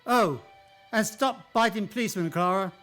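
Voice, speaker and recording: A woman's voice: mid-range voice, moderate pace, fairly bright tone, soft background music, close to an everyday voice. A woman playing a stern, authoritative senior angel who questions and admonishes in a radio play. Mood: stern, reproving. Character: stern heavenly superior angel, questioning and scolding.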